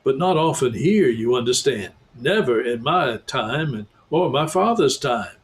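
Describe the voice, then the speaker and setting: A man reading aloud and voicing an excitable, earnest male beaver who talks emphatically. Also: mid-range voice, a little slow, lightly acted. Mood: eager.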